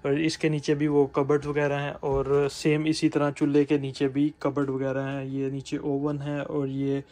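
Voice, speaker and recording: A man's voice: fairly high pitch, monotone, normal speed, dark tone. A young man giving a casual, explanatory walk-through tour as a vlogger. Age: young adult. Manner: explanatory, talking while walking around. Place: outside by a camper, then inside its small interior. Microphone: phone at arm's length. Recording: handheld recording with clear background noise.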